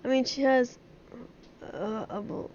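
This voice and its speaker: Giggly high-voiced woman streamer, muffled sound. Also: measured pace, a little mellow, a little hiss or hum. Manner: giggly, rambling. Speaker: game live streamer. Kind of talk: casual livestream chat while gaming. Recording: dull lo-fi stream microphone.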